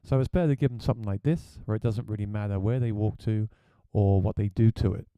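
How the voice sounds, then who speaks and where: Deep-voiced man reviewing gear. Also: quick pace, mellow, clear, resonant tone, no room sound. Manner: chatty, plain-spoken. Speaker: gigging musician reviewing gear.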